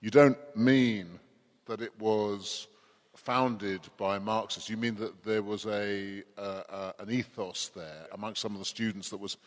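A man's voice: lowish voice, fairly even intonation, unhurried. A man conducting an interview, asking long, winding questions with a dry wit. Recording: long hall reverb.

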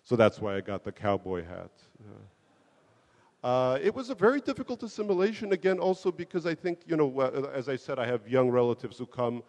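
A man born in the Soviet Union, a guest in an interview, telling stories about himself in a relaxed, self-mocking way with frequent laughs and restarts. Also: mid-range voice, moderate pace. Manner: self-deprecating, laughs often, many restarts. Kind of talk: relaxed conversational storytelling. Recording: long hall reverb.

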